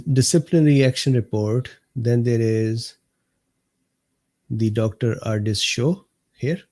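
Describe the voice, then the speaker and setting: Slightly hoarse man hosting a live stream. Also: medium pitch, warm tone. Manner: unhurried, laughs often, addresses viewers by name. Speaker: host of a live medical talk stream. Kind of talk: casual livestream chat reading viewer comments.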